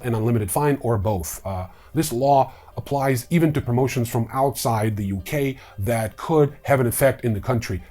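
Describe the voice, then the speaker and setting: A man's voice: medium pitch, warm tone, slightly rough. A man hosting a news show, reading a script in an informal way with casual, wry asides. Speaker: host of a crypto news show.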